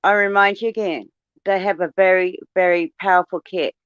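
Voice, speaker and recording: A woman's voice: on the low side, fairly even intonation, normal speed, smooth, no room sound, highs cut off. A woman from Australia with an Australian accent, chatting casually, blunt and swearing freely as she tells stories. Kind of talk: casual chat.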